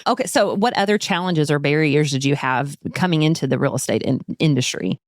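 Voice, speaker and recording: Low-voiced woman podcast co-host. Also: a little fast, dry recording, studio quality. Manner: friendly, rephrases questions. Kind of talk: casual interview chat.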